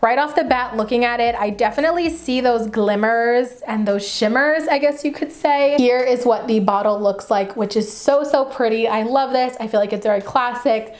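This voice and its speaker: A woman beauty vlogger chatting casually and enthusiastically to camera, with a slightly dry, raspy voice from getting over the flu. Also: a little high. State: getting over the flu, mild sore throat. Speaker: beauty vlogger, channel host.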